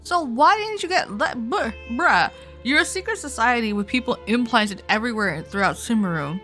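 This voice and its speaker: A woman's voice: fairly high pitch, expressive, moderate pace, a bit bright, soft background music. A woman livestreamer giving casual, incredulous, slangy reaction commentary on a game.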